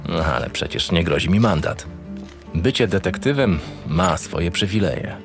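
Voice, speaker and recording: A man plays a cynical homicide detective in a crime audio drama. His voice sits fairly low, gruff and sardonic, with irritation and swearing never far away. Music plays underneath. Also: expressive, unhurried. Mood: brooding. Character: cynical, irritable male homicide detective, foul-mouthed boss. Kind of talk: first-person audio drama narration and dialogue.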